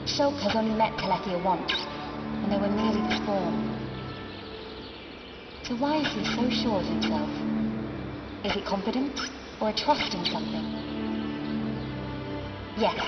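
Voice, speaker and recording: A young British woman playing a formal, dignified noble mage in a fantasy video game, with a measured, solemn delivery. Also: mid-range voice, animated, lightly acted. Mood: pensive. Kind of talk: dramatic video game voice acting. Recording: dull game capture under loud music.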